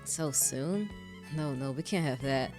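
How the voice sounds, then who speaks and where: A woman voicing a smug, teasing male vampire lover in a low, hushed voice for a game read-through. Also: a little slow, faint music behind.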